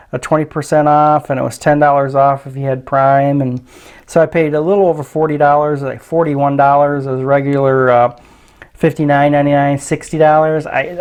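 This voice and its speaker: A man's voice: medium pitch, darkish tone, clear, resonant tone. A man giving a casual, unscripted product review in a hesitant, tentative way, often trailing off.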